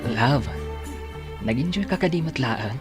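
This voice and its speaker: An actor playing a tender, solicitous boyfriend in a radio drama, gentle and caring with his sweetheart. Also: mid-range voice, animated, unhurried, over loud music, natural voice. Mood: tender, concerned. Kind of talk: Philippine radio drama acting.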